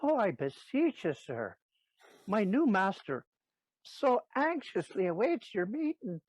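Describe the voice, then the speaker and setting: A man's voice: sits high, big pitch swings, slow pace, dry recording, full character voice. A man playing a sentimental, cheeky servant clown in a Shakespearean audio play. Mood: coaxing, babbling. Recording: dull, dry lo-fi recording.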